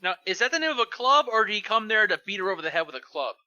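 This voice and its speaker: A man with a high, sharply bright voice and animated intonation. He comments casually and comically, often in a mock-serious, joking way. The sound is close and dry. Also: very fast, clean tone, no room sound, dull audio. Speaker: fan fiction podcast reader.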